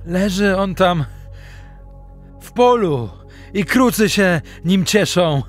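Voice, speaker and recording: An actor playing an emotional, wounded young warrior in a dramatic audio play. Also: male voice, high voice, animated, slow pace, soft background music. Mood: bitter triumph.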